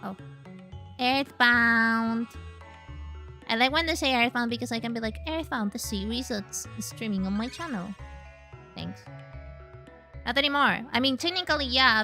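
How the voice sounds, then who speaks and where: A woman from Chile chatting casually and excitedly while streaming a game, with the odd swear. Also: fairly high pitch, moderate pace, pauses often, soft background music. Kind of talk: casual gaming livestream chat.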